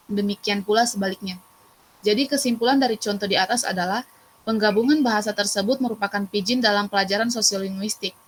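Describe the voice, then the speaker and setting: A woman's voice: medium pitch, fast talker, a little hiss or hum, poor audio. Young woman reading her class notes. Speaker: student presenter and moderator.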